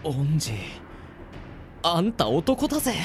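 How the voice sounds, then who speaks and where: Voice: medium pitch, sing-song, slow, over music. Actor as a wisecracking boy with a swooping delivery. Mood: wry, baffled. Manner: dry comic retorts, muttered asides, startled outbursts. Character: exasperated, wisecracking boy trapped in a swapped body. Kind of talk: amateur comedic radio drama acting.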